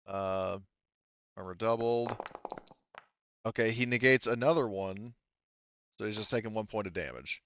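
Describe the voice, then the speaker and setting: A man's voice: fairly low pitch, unhurried, pauses often, a bit bright, dry recording, highs cut off. A man running a tabletop game as game master, narrating casually and thinking aloud in a hesitant way.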